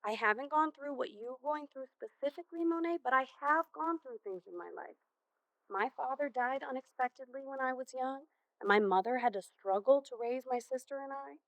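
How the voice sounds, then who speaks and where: Patient female school counselor, played by a stage actress: measured, sincere delivery, fairly high pitch, muffled sound. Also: a bit bright, no echo. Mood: earnest. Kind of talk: amateur stage play acting. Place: theater stage. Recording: muffled, dull stage recording.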